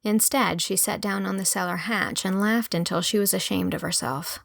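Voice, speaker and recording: A woman's voice: medium pitch, fairly even intonation, moderate pace, vocal fry, dry recording, clean studio sound. A woman narrator reading scripted fiction as an audiobook.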